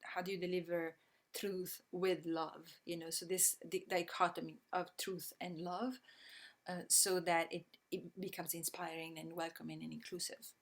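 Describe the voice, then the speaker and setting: A woman with an Italian accent and a slightly low voice talks through her ideas slowly and thoughtfully. She pauses often and fills the gaps with ums, and she restarts sentences as she searches for words. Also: flat delivery. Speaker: guest, systems thinking educator. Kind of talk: reflective interview answers.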